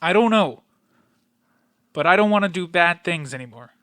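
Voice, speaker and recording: A man's voice: high voice, expressive, moderate pace, sharp tone, clear, resonant tone, no room sound. An actor playing a troubled young man, guarded, wry and sad, in an audio play. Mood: pleading.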